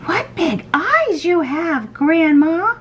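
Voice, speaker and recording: A woman's voice: extremely high pitch, big pitch swings, slow pace, a bit bright, a little hiss or hum, pitched above the performer's own voice, full character voice. A woman voicing an innocent, wide-eyed little girl in a storybook, with a sweet sing-song delivery. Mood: amazed.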